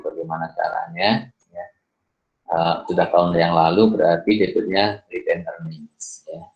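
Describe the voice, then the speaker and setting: A middle-aged man with a very deep voice works through exam problems for his students. He moves unhurriedly, tagging his phrases with "ya" and stopping for "eh". The recording sounds dull and muffled. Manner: unhurried, fills pauses with "ya" and "eh". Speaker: accounting lecturer.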